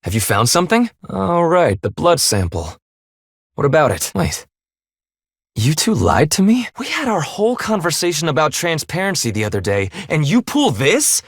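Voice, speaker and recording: A man's voice: a little high, huge pitch swings, normal speed, slightly rough, no echo, studio quality, exaggerated voice. A man voicing a stern, blunt young half-human leader in a video game, speaking in a clipped, formal, commanding way. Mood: shocked, demanding. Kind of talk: English video game voice acting.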